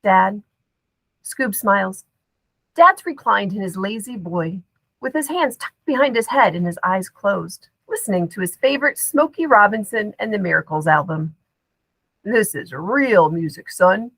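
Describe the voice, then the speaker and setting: A woman's voice: mid-range voice, expressive, normal speed, fairly bright tone, no room sound, poor audio. A woman narrating a children's story expressively from a script, acting out the drama as an audiobook reader. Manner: dramatic, acts out dialogue.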